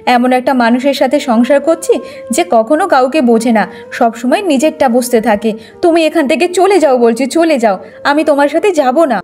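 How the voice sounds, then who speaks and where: A woman's voice: high voice, normal speed, darkish tone, soft background music, close to an everyday voice. A woman voicing a talkative, aggrieved young village wife in a moral-story cartoon, with a plaintive way of talking. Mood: angry defiance.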